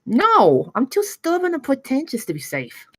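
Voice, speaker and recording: Woman as pretentious young security officer, stubborn, very lively pitch, close dry sound, comedy script reading. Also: moderate pace, dry recording, full character voice. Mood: indignant. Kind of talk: script table read.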